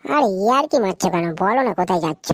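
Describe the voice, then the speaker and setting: A woman's voice: mid-range voice, expressive, very fast, no echo. A woman voicing a nosy, teasing younger relative in an adult comedy cartoon, with an insistent, questioning manner.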